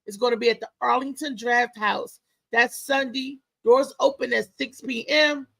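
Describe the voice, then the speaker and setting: A woman hosting a casual live show as a comedian, talking in a loud, lively, chatty way with lots of exclamations. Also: fairly high pitch, moderate pace, gravelly. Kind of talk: casual live-stream chat and promotion.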